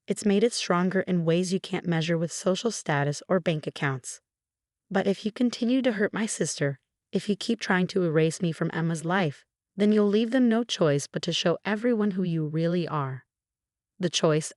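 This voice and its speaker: A woman reading a scripted first-person story as narrator, in a measured, earnest way. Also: on the low side, dry recording, studio quality.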